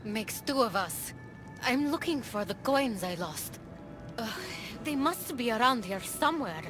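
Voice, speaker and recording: A woman's voice: on the high side, lively intonation, measured pace, music in the background. An actress playing a puzzled dead woman in a video game, recalling things in a hesitant, searching way. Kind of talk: video game dialogue acting.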